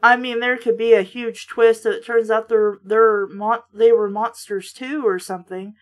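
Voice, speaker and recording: Blunt, higher-voiced woman reading and commenting. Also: steady tone, normal speed. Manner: blunt, sardonic, casually profane. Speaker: book reviewer and host. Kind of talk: casual read-aloud with running critical commentary.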